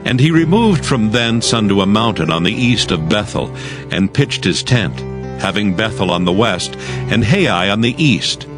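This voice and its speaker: A man narrating scripture as a scripted dramatized audio reading, in a solemn, measured storytelling manner. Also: lowish voice, expressive. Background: music.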